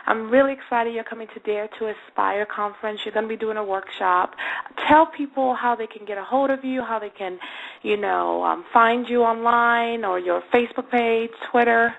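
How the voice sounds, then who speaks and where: A woman's voice: a little high, moderate pace, creaky, narrow, tinny audio. A woman hosting a radio show, chatting casually with effusive enthusiasm. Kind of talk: casual interview chat.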